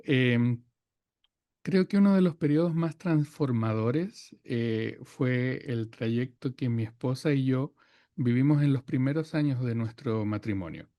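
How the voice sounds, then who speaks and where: A man's voice: mid-range voice, unhurried, dark tone, dry recording. A man with a mid-range voice talks as a podcast guest. He thinks aloud, often stopping on small fillers before he finds his words. The sound is dry and close. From Chile. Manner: thoughtful, many hesitations and fillers. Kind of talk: reflective conversation.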